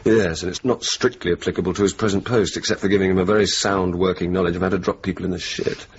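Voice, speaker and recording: Radio drama: blunt young wartime RAF officer, low voice, dry and sardonic, muffled noisy recording. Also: male voice, steady tone, fast, slightly rough. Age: young adult. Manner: dry, sardonic, sweary, decisive. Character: blunt, cynical young male RAF officer, wryly decisive. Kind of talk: radio drama acting, dialogue and narration.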